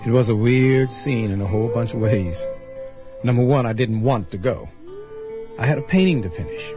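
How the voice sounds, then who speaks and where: A man's voice: mid-range voice, normal speed, music in the background, lightly acted. An actor playing a wry, skeptical artist who recounts his story in colloquial first-person radio drama narration. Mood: wry, reluctant.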